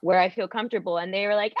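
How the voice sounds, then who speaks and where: A young adult podcast guest chatting casually, rambling with lots of "like" and restarts. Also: female voice, mid-range voice, no room sound. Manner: rambling, heavy use of "like". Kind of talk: casual chat. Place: college radio studio. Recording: dull lo-fi dry sound.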